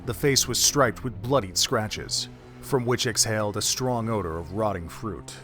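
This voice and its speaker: A man narrating a fan audio drama in a steady, measured storytelling manner. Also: on the low side, faint music behind.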